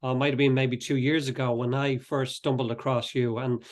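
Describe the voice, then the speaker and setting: A man's voice: mid-range voice, level tone, normal speed. A man hosting a podcast interview in a conversational way, with wordy, wandering questions and comments.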